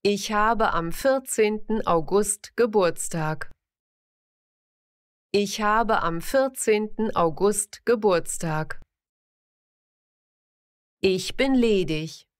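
A woman slowly reading simple example sentences for a language lesson, pronouncing each word carefully and repeating every sentence. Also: mid-range voice. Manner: careful, evenly paced, clearly enunciated.

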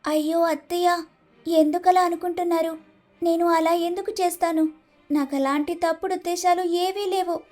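A patient, respectful young wife in a cartoon family tale, played by a woman. Her voice is very high, and she speaks gently and politely. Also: steady tone, moderate pace, faint music behind. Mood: pleading. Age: young adult. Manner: polite, soft-hearted. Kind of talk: animated moral story dialogue.

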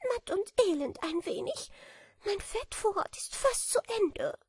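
A woman's voice: extremely high pitch, animated, a little slow, dry recording, clean studio sound, voice pushed up, heavily acted. A woman reading aloud voices a small, hungry female bat just woken from hibernation, singing and complaining in a fine, thin soprano. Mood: plaintive. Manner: complaining, sighing. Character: tiny weary female bat, sleepy and hungry. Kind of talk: storybook character voice.

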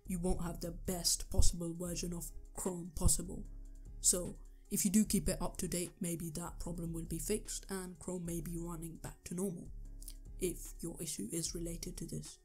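A young man with a sore, hoarse throat, talking through a computer tutorial step by step, with the odd um. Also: high voice, level tone, moderate pace, darkish tone, faint music behind. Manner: earnest step-by-step instructions with ums. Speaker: tech tutorial youtuber. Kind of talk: screen-recorded tutorial voice-over.

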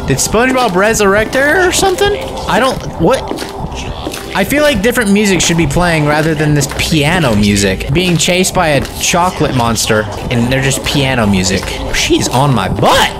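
A man's voice: on the high side, sing-song, moderate pace. A young man playing a horror game and reacting with jokey, exclamatory commentary into a headset mic. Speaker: gaming streamer. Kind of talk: let's play commentary. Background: game music.

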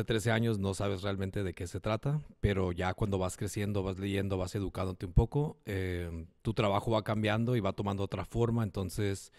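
A man's voice: on the low side, a little mellow, no echo, clean studio sound. A middle-aged man with a northern Mexican accent from Tijuana, introducing himself conversationally on a handheld microphone. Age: middle-aged, around 50. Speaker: graffiti artist and muralist. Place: indoor room, seated panel. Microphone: handheld microphone held close.

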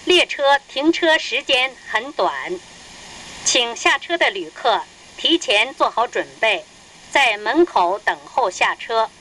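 A woman delivering a formal, deliberate, scripted station announcement as a train announcer. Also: fairly high pitch, lively intonation, pushed and bright, rough recording. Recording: train speakers with faint noise. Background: train cabin hum.

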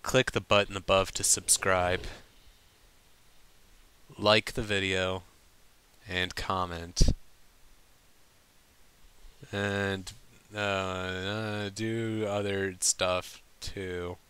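A man reading fan fiction aloud as a storybook narrator, with some halting stumbles over the lines. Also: sits low, little pitch movement, sharp tone, clean tone.

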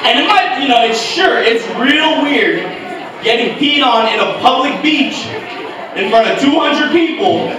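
A man's voice: very high-pitched, animated, measured pace, background noise. A man from Hawaii telling crude stand-up stories on a comedy club stage. He talks loosely and keeps throwing asides to the crowd, into a handheld mic. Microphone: handheld mic, recorded by a camera far back in the room. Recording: echoey club audio from far off, loud crowd.

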